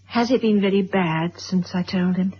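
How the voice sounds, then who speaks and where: A woman's voice: medium pitch, moderate pace, breathy edge, natural voice. An actress playing a frank, sympathetic woman confiding in a friend in a radio drama. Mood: concerned. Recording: dull old radio recording.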